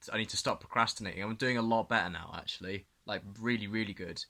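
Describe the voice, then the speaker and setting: A young man recording a video diary, earnest and excitable, repeating himself for emphasis. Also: on the low side, quick pace. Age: young adult. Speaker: vlogger.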